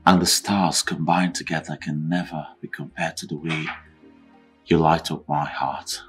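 An actor playing a doting husband with a volatile temper in a film drama. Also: male voice, rumbling low, level tone, measured pace, husky, heavily acted. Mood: tender, romantic. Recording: music behind the voice.

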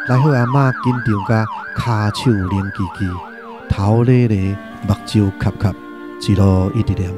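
A man's voice: fairly low pitch, unhurried, over music. A man with a Taiwanese accent narrating a literary radio drama from a script in a dramatic style.

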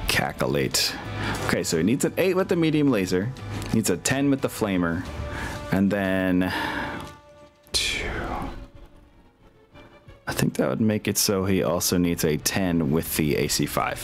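A man's voice: low voice, very animated, unhurried, pauses often. A man casually running an online tabletop wargame as game master, thinking aloud through rules and rolls. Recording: music running under the voice. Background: music.

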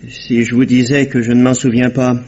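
An actor playing a wary, evasive fake blind beggar in a vintage radio drama, with a husky voice. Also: male voice, medium pitch, level tone, a little mellow, close to an everyday voice. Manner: evasive, quick replies. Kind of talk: 1950s radio drama acting. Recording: dull old radio recording.

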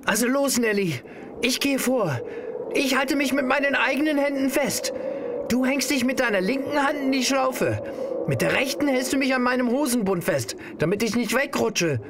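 A man's voice: extremely high pitch, lively intonation, normal speed. A man acting a young male hiker, exhausted but urging, in an audio drama. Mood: instructing, urgent. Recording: noisy outdoor ambience behind dialogue.

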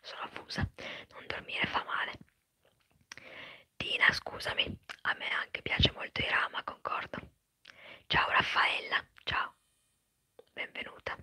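A woman whispering quickly and chattily in an ASMR live stream, answering viewers' comments. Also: sharp tone, no room sound. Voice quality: breathy whisper. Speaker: ASMR creator.

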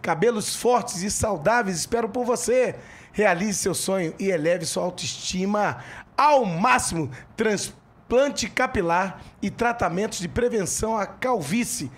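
A man's voice: high-pitched, a little slow. A middle-aged man with a Brazilian accent, hosting a podcast in a studio on a handheld mic, reading ads with energy. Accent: Brazilian Portuguese. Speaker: podcast host. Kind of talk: casual podcast talk with live ad reads.

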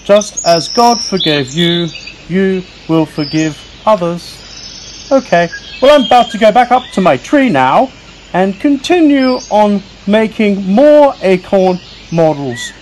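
A man voicing an excitable, talkative young squirrel who tells children a story in a theatrical, self-important way. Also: high voice, rough recording. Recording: faint noise with birdsong and crickets.

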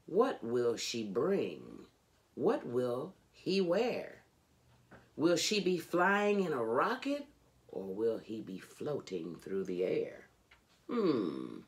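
Woman reading as a slow, wondering young schoolboy. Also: rumbling low, wildly swooping pitch, full character voice. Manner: musing, questioning. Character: excited, curious young schoolboy wondering aloud.

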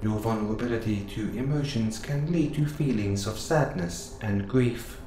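A low-pitched male robot assistant, acted by a man, curious and devoted, speaks to the listener in an intimate audio roleplay. His wording is formal, precise and earnest. The recording sounds roomy, with clear noise behind the voice. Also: normal speed, mellow, lightly acted. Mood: wistful.

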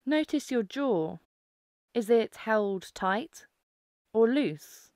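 A woman narrating a guided mindfulness meditation, giving calm, gentle instructions with pauses. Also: fairly high pitch, no room sound, studio quality.

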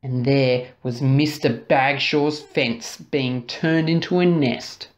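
A woman narrating a children's story expressively, dramatic and deliberate, drawing out key words. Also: a bass voice. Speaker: storybook reader.

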